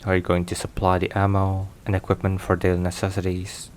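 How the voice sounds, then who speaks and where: A man playing a weary, caring mafia boss boyfriend in an ASMR roleplay, hushed and sighing between phrases. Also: deep voice, monotone, fast talker, slight background noise, lightly acted. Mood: weary, confiding.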